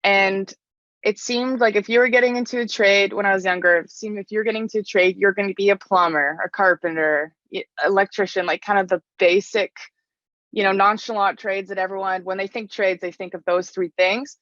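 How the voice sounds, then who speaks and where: A young woman answers interview questions in a loose, chatty way, dropping "like" between phrases. Her voice sits at a middling pitch. The recording is dry and a little muffled. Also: fairly even intonation, fairly quick, a bit bright, dry recording. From Canada. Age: young adult. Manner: chatty, frequent "like" fillers. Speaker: interview guest, stone mason.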